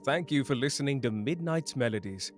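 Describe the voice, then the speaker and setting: A man's voice: mid-range voice, expressive, a little fast. A man reading a polite, scripted outro as the channel's creator. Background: music.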